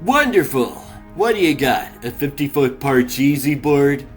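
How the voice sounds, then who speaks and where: Cartoon teen mouse hero played by a man: highish, animated, defiant, slangy. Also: measured pace, faint music behind. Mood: indignant. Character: cocky young male mouse athlete under pressure. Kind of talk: comic dub cartoon acting.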